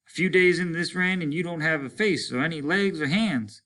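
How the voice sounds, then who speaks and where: A man playing a hardened soldier at the end of his rope in a storybook reading. The voice is raised and strained, with a bright, hard edge. He speaks bluntly, with bitter fatalism. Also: a little high, brisk, voice pushed up. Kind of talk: dramatic storybook character reading.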